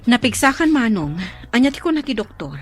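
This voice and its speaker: A woman's voice: on the high side, big pitch swings, fairly quick, soft background music. An actress playing a worried woman caring for her older brother, speaking earnestly in a radio drama.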